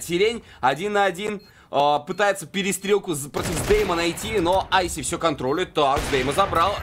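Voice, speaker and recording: Man, esports caster, somewhat high-pitched, conversational live match commentary, light background noise. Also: fairly bright tone. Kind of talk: live Counter-Strike play-by-play commentary.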